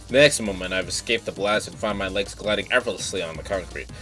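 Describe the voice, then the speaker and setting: A man's voice: low-pitched, fast. A man doing casual live stream commentary while reading game text aloud, with offhand asides. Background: game music.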